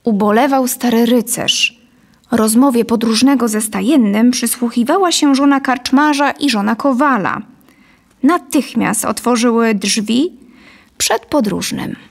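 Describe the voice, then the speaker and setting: A woman's voice: on the high side, measured pace, creaky. A woman in her thirties reading scripted story narration into a close studio microphone. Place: dim studio set, indoors. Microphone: close studio condenser microphone.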